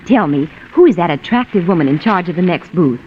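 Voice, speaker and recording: A woman's voice: lowish voice, expressive, fairly quick, natural voice. An actress playing a curious, chatty, gushing woman in a 1940s radio commercial sketch. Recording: muffled vintage radio, faint noise.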